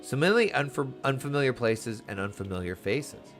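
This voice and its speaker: A man plays an eager young female receptionist in a fantasy video game. She is courteous and a little anxious, speaking in formal, effusive phrases at an average pitch over faint music. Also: lively intonation, normal speed, a bit bright, natural voice. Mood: anxious, polite.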